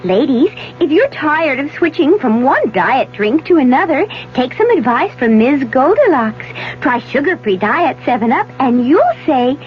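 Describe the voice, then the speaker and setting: A woman's voice: high-pitched, big pitch swings, measured pace, hardly any pauses. An actress voicing a perky, bossy storybook girl as a taste tester in a 1970s comic radio commercial. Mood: perky, pleased. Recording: muffled 1970s radio broadcast, faint music.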